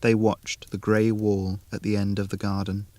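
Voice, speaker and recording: A man reading an atmospheric short story in a deliberate, measured, literary manner. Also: fairly low pitch, completely flat delivery, mellow, no echo. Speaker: narrator.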